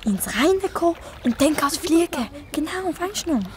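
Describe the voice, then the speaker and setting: A woman's voice: extremely high pitch, expressive, moderate pace, a crowd in the background. A woman playing an earnest, sensible young girl who looks after her brother, in a children's radio play. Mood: anxious.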